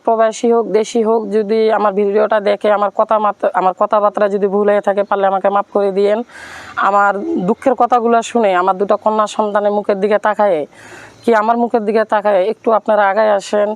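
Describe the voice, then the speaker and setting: A woman's voice: mid-range voice, level tone, fast talker, no room sound. A woman with a Bangladeshi accent, earnestly and plaintively telling her life story and appealing to listeners. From Rangpur, Bangladesh. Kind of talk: personal account and plea to viewers.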